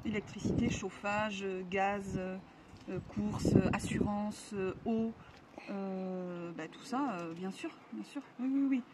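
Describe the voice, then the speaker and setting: A woman's voice: mid-range voice, slow pace. A woman, chatting casually and a little hesitantly, repeating words for emphasis. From France. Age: 30s. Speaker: vlog interviewee, expatriate. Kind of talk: casual chat. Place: outdoors in a residential neighbourhood. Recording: noisy outdoor recording.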